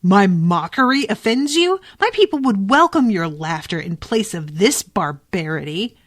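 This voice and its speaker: A woman's voice: mid-range voice, lively intonation, moderate pace, a bit bright, lightly acted. A woman voicing a fiery, defiant young woman from a novel, impassioned, accusatory and scornful. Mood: scornful rage. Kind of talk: audiobook-style novel reading.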